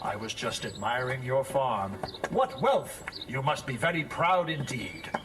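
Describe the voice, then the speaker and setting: A man's voice: mid-range voice, expressive, a little slow, a bit bright. A man playing a vain, dreamy village schoolmaster in an audio drama, speaking in a pompous, flowery, effusive way. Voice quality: theatrical, florid delivery.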